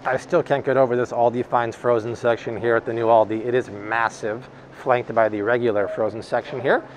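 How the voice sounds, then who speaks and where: A man's voice: medium pitch, fairly even intonation, brisk, muffled recording, a little hiss or hum. A man in his thirties hosting a shop-along grocery vlog, chatting upbeat about products into a phone held at arm's length in a large store. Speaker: host.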